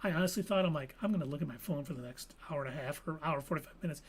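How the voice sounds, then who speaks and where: A man co-hosting a live podcast, giving firm, animated opinions in casual chat. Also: on the high side, rapid-fire, breathy edge. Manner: opinionated, animated movie talk. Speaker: podcast co-host.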